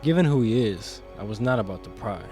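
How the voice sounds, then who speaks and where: A man's voice: fairly low pitch, expressive, a little fast, mellow, close to an everyday voice. Restrained, dutiful male guard played by a man. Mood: deferential. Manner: restrained, respectful, matter-of-fact. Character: deferential, businesslike male guard serving the arena master. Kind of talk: audio drama acting. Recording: music bed under the voice.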